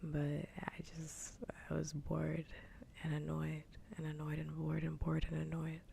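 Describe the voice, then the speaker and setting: A woman hosting an ASMR livestream, chatting casually in a soft, breathy whisper close to the mic, with unhurried, sweary rambling. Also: deep voice, monotone, a little hiss or hum. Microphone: close ASMR microphone.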